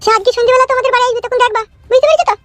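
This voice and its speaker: Squeaky, bossy village mother-in-law. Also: very fast, fairly bright tone, soft background music. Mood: bossy, scheming. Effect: pitched-up cartoon voice. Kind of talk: comic cartoon dialogue.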